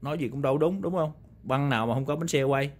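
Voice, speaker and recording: A man's voice: medium pitch, fast. A man with a Southern Vietnamese accent giving a casual, unscripted review as a video host, explaining in a rambling, conversational way with repeated confirming tags. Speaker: video host reviewing vintage audio gear. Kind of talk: casual, unscripted product talk. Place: indoor room, demonstrating equipment on a table.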